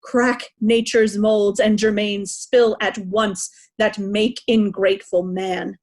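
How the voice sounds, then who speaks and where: A woman playing an infirm, raging old king in slow, declamatory Shakespearean verse. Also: mid-range voice, little pitch movement, darkish tone. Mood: raging, defiant. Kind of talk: theatrical Shakespearean verse reading.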